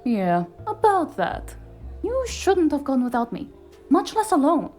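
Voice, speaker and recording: A woman playing a sharp, street-savvy, exasperated fixer in a cyberpunk noir audio drama, sardonic and emphatic. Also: high voice, big pitch swings, measured pace, faint music behind. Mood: exasperated, scolding.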